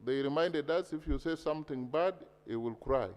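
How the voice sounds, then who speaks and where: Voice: medium pitch, normal speed, a little mellow. Presiding Ugandan speaker, echoey chamber. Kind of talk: brief procedural interjections. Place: large parliamentary chamber. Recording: echoey hall with long reverb.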